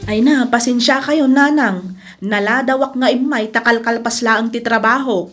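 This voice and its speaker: A woman's voice: a little high, normal speed, fairly bright tone, faint music behind. A woman playing a helpful, soothing grown daughter in radio drama, speaking in a reassuring, conversational way. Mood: curious.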